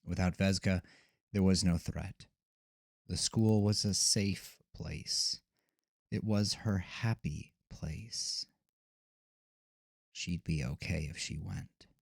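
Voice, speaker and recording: A man narrating a scripted horror audio drama in a deliberate, dramatic storytelling manner. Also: low voice, creaky, husky, dry recording, studio quality. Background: music.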